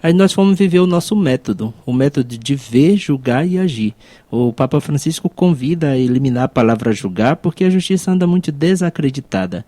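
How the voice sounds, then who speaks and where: A man's voice: medium pitch, lively intonation, brisk, mellow, dry recording. A man with a Brazilian accent speaking as a priest and podcast host, explaining things reflectively in a conversational way. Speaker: priest, podcast co-host and university chaplaincy advisor.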